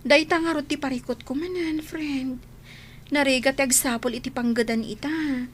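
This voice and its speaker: Actress as high-voiced young Ilocano daughter, determined. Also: female voice, steady tone, normal speed, a little hiss or hum, lightly acted. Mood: earnest, resigned. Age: young adult. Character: determined young daughter who left school to work. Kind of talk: Ilocano radio drama acting.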